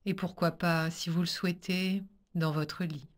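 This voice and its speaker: A woman with a low voice leads a guided meditation as a yoga teacher. She speaks very slowly and calmly, in short soothing instructions. Pauses separate her measured phrases. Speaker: yoga nidra teacher guiding a practice.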